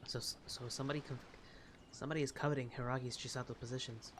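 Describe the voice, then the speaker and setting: A man's voice: medium pitch, fairly even intonation, fast, background noise. A man giving gameplay commentary and reading along as a game streamer. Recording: game music behind the voice.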